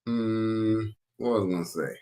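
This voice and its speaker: Deep-voiced man giving crude commentary. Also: measured pace, heavy background noise. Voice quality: very deep baritone. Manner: loud, crude, slangy. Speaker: commentary host. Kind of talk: casual reaction commentary.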